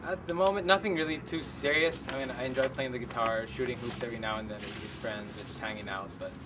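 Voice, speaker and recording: Soft-spoken young man, distant, street noise. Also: medium pitch, fast. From Philippines. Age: young adult. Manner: soft-spoken, hesitant with frequent ums. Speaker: student golfer. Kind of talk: interview answers.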